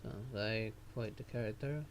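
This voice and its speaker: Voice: medium pitch, unhurried, slight background noise. A game streamer commenting casually and reading dialogue aloud, with sardonic, muttered asides. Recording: game music behind the voice.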